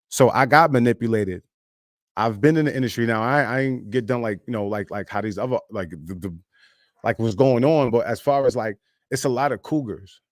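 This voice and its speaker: A man's voice: medium pitch, no room sound, studio quality. A man in his thirties, an independent rap artist, talking casually and candidly on a live stream in a loose, rambling way, with constant "you know what I'm saying" asides. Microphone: streaming mic.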